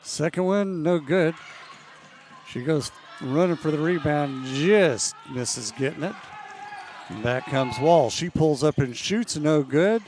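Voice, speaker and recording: A man calling a women's college basketball game play-by-play, losing his voice and clearing his throat now and then. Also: on the high side, a little hiss or hum. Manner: steady, measured calls that rise on big plays. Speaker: play-by-play announcer.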